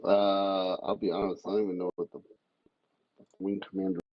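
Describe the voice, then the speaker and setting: A man on a podcast panel chatting casually in a blunt, deadpan way with some swearing, in dull lo-fi sound. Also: deep voice, brisk. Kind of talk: casual chat.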